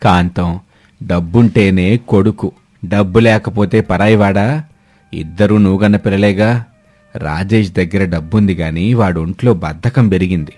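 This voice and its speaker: A man voicing a sensible, fair-minded old village father, chiding in a measured way, in a story. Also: low-pitched, dark tone, pitched below the performer's own voice.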